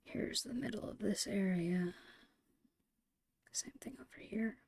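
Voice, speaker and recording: A woman whispering in a breathy, creaky voice, murmuring her way step by step through an ASMR art narration. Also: mid-range voice, darkish tone, no echo. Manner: unhurried, murmured step-by-step musing. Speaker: host of an art ASMR channel.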